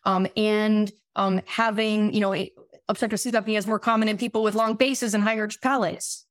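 A woman in her late thirties with a raspy voice, explaining things conversationally as an expert guest, often halting and restarting her phrases. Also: mid-range voice, steady tone, dry recording, clean studio sound. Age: late 30s. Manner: halting, self-interrupting, many repeated words. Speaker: podcast guest, medical doctor.

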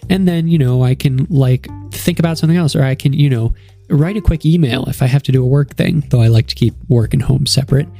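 A young man with a head cold talks in a nasal, stuffy voice at a mid pitch. He chats casually on his podcast, upbeat and rambling. Faint music sits behind him. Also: fast, vocal fry, warm tone. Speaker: podcast host. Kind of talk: casual solo podcast talk.

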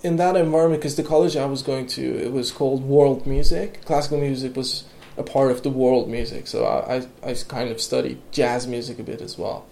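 A young man who grew up in Iran, speaking English as a guest in a casual interview, halting with many fillers and restarts. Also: medium pitch, fairly even intonation, creaky, mellow, a little hiss or hum. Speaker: guest, electronic music producer.

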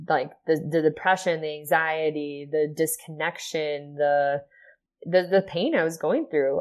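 A woman from Ohio, a yoga and sound healing teacher, telling her personal story as a podcast guest in an earnest, soft-spoken way, with many fillers. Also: deep voice.

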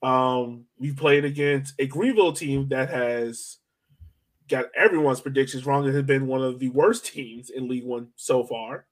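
A man with a mid-pitched voice hosts a sports podcast. He talks in a casual, slangy, conversational way, working through his thoughts as he goes. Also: steady tone, unhurried. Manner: conversational, slangy, thinking aloud. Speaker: soccer podcast host.

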